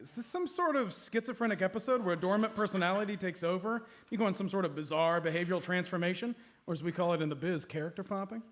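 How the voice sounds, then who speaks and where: A man playing an excitable, earnest boyish hero with an eager, theatrical manner in a live comedy radio play. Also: sits high, fast, few pauses. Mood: puzzled. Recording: live stage recording with background noise.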